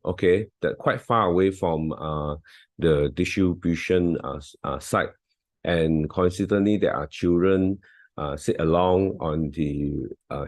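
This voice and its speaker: A man's voice: low voice, a little mellow, no echo. A man with a Malaysian English accent, not a native English speaker, giving a hesitant volunteer talk with many fillers and restarts over a video call.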